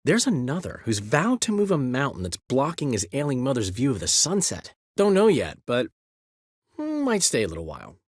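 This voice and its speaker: A flamboyant, vain bard, theatrical and wry as he boasts and teases his friends. His pitch swings in a lively way, with a slightly husky grain. The recording is dry and close, with no room sound. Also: normal speed, no room sound. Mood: showy. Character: flamboyant male bard, vain, charming, talkative. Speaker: game character. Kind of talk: game dialogue voice acting.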